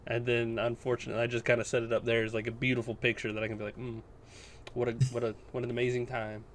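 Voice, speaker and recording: Guy on a podcast just chatting, average pitch, bit of noise behind him. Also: little pitch movement, rapid-fire. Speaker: podcast co-host. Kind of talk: casual podcast chat.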